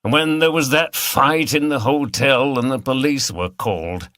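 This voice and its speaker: A man's voice: mid-range voice, measured pace, fairly bright tone, no room sound, voice pushed up, natural voice. A man voicing a tired, good-humoured older male detective with a mild, self-deprecating manner in an audiobook. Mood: sheepish, drowsy.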